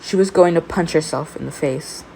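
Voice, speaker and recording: A woman reading fan fiction aloud in an expressive amateur storytelling style. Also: low voice, normal speed, vocal fry, slightly rough, low-quality recording.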